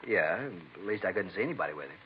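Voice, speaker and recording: On a dull old radio recording with faint noise, a male shopkeeper. He talks briskly and casually, a folksy, unfussy working man in a period crime drama. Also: deep voice, very animated. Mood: matter-of-fact. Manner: casual, folksy, matter-of-fact. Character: plain-spoken male bakery shop owner, unfussy robbery victim. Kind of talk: 1950s radio drama dialogue.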